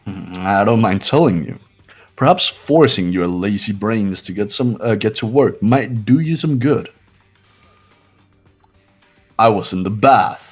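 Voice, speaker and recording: A man's voice: lowish voice, slightly rough, heavily acted. A man voicing a haughty, contemptuous rich young man in an amateur playthrough of a visual novel game. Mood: smug mockery. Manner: disdainful, aloof, deliberate.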